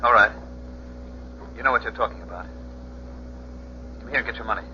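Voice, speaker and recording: An actor playing a nervous, guilty hotel clerk in a 1940s radio crime drama, with a flustered, hesitant delivery. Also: male voice, fairly low pitch, brisk, bright, forceful tone. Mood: tense, desperate. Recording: muffled, hissy 1940s radio recording.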